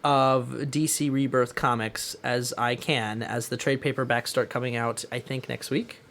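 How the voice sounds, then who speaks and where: A man's voice: mid-range voice, steady tone, measured pace, fairly bright tone, a little hiss or hum. A man co-hosting a podcast, chatting casually about pop culture in an opinionated, emphatic way. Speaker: podcast co-host.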